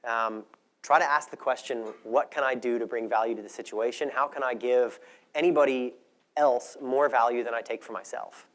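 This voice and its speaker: Male conference speaker, medium pitch, thoughtful and conversational, explaining ideas to an audience. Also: steady tone, moderate pace.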